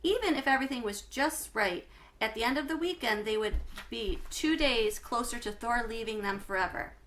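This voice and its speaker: A woman with a very bright, forward voice reads a children's story aloud in a teacherly way. Her pitch sits a little high, and she phrases expressively, leaning on the important words. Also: normal speed, a little hiss or hum. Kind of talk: read-aloud storytelling for schoolchildren.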